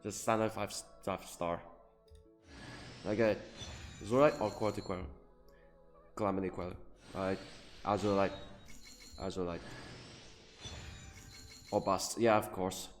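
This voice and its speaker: A man giving casual live gaming commentary, thinking aloud haltingly and repeating his phrases. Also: deep voice, fairly even intonation. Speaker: game streamer. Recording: roomy echo, faint game music behind.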